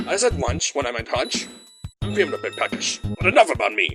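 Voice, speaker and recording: A woman plays an impatient, officious cartoon boss of a spy agency who rambles absent-mindedly. The delivery leaps up and down dramatically over clear background music. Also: a bass voice, very animated, fast talker. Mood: officious, rambling. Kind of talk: cartoon fan dub acting. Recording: music under the voice.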